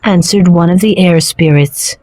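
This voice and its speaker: A woman reading a children's storybook aloud in a slow, clear and even narration. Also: on the low side.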